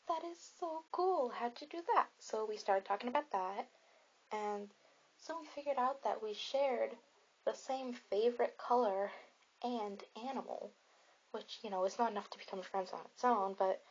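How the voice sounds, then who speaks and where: High-voiced chatty, reassuring teen girl, played by a woman. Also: muffled recording. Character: friendly popular teenage girl comforting an anxious partygoer. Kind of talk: ASMR roleplay, soft casual chat.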